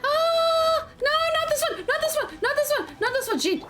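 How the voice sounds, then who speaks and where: A woman doing a casual let's play, excitable and thinking aloud, often repeating her phrases as she goes. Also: extremely high pitch, normal speed, faint music behind. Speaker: game streamer, host. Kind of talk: casual let's play chat and live game commentary.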